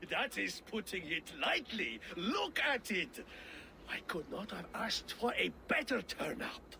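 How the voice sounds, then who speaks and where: Fussy genial town official in a medieval game, really animated, gravelly edge. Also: male voice, sits high, slow pace, a little hiss or hum, full character voice. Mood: effusive, evasive. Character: genial, self-effacing medieval town official hosting a feast. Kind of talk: video game character acting.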